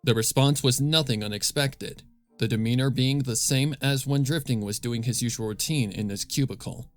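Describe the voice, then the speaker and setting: A man's voice: mid-range voice, normal speed, faint music behind. A man narrating scripted fan fiction as a storyteller, close on the microphone.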